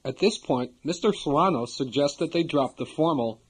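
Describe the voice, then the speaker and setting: A man narrating a language course in a measured, formal instructional manner. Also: fairly high pitch, expressive, breathy edge, rough recording.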